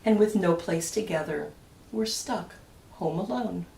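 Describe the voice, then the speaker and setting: A woman reading a rhyming picture book aloud for children, expressively, with dramatic emphasis and deliberate pauses. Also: fairly low pitch, gravelly, dark tone. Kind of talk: expressive storytime read-aloud of rhyming verse. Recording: roomy echo, distant mic.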